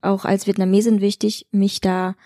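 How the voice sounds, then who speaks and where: A woman's voice: medium pitch, little pitch movement, moderate pace, dark tone, dry recording, clean studio sound. Frank, pragmatic woman guest. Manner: frank, pragmatic, laughs often. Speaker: actress and kung fu trainer, podcast guest. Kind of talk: candid podcast conversation.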